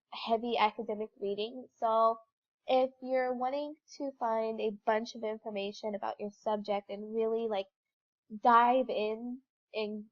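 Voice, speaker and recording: A woman's voice: on the high side, lo-fi sound. A young woman with a congested, stuffy voice, talking through a slideshow presentation in an informal, explanatory way with some fillers. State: congested from a cold. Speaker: student presenter.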